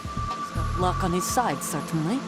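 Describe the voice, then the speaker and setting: A woman's voice: on the low side, animated, moderate pace, natural voice. Woman acting as bitter merc boss lady from a game, curt n defiant, music behind her. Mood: curt, grudging. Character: bitter, vengeful female mercenary commander.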